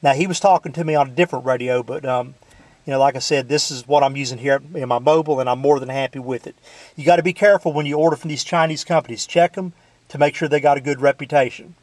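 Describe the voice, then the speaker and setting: A man's voice: medium pitch, steady tone, fast talker, a little mellow, no room sound. A man in his truck casually showing off a CB radio, folksy, with lots of filler words. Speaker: CB radio hobbyist demoing a radio. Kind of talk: casual show-and-tell.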